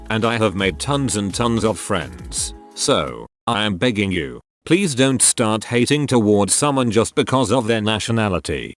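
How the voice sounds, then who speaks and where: A man narrating a series of reader-submitted stories in a measured, even reading style. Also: on the low side. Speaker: narrator reading online posts. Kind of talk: scripted narration.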